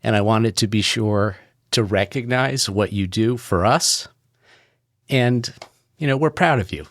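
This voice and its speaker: A man guesting on a podcast, giving formal congratulatory remarks in measured short phrases with pauses. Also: fairly low pitch, no echo, clean studio sound. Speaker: podcast guest, agency leader.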